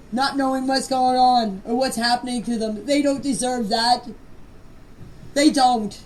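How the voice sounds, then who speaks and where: Older woman, higher voice, earnest and plain-spoken, informal phone voice message with faint noise. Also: darkish tone, distant mic, rough recording. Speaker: grandmother of missing children.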